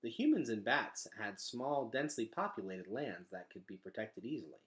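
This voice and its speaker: A man reading a children's novel aloud in an expressive, dramatic storytelling style. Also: lowish voice, moderate pace. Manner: animated, dramatic delivery.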